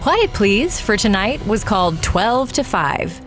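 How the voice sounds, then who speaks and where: A woman's voice: medium pitch, very animated, takes it slowly, faint music behind. A woman reading scripted closing credits as the announcer.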